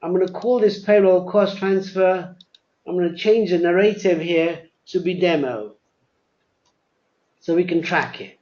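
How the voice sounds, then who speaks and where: A man presenting a live software demo, explaining step by step, often repeating words and spelling things out. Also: high-pitched, measured pace. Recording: dull, lo-fi webinar audio.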